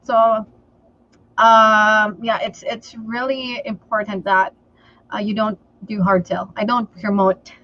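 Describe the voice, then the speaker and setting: A woman from the Philippines whose first language is Tagalog, giving sales advice in a livestream interview and often pausing on "okay" and "um". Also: mid-range voice, flat delivery, sharp tone, no room sound, dull audio. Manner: slow and deliberate, many fillers like okay and um. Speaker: sales trainer guest.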